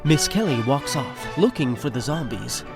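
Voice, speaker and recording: A man's voice: mid-range voice, very animated, normal speed, gravelly. A man reading scripted narration for an audio drama. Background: music.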